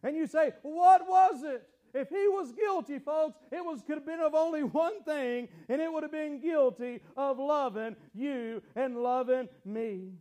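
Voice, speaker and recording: Preacher, male, very high voice, unhurried, impassioned, bursts into shouts. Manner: stumbles and restarts words. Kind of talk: fiery sermon.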